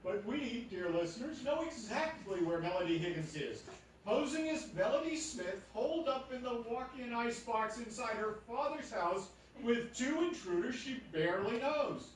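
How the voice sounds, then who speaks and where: A man's voice: very high voice, lively intonation, normal speed, slight background noise. A man playing a formal, dramatic 1940s radio newscaster and narrator. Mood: confiding, dramatic. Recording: echoey hall, distant mic, loud music.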